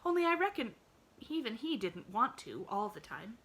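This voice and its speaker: A woman's voice: on the high side, big pitch swings, moderate pace, fairly bright tone, slightly rough, pitched above the performer's own voice, exaggerated voice. A woman voicing a cheerful, earnest little girl who talks eagerly.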